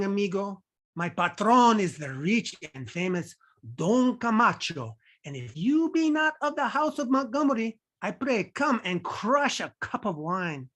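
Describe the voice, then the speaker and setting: A woman's voice: mid-range voice, a bit bright. A woman playing a cheeky, slangy, mocking manservant in a play reading over a video call. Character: Chucho, cheeky bilingual servant man, slangy and mocking. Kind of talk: table read of a play.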